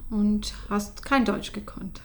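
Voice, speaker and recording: A woman's voice: medium pitch, fairly even intonation, slow, a little mellow. A woman interviewing, prompting with short questions and backchannels.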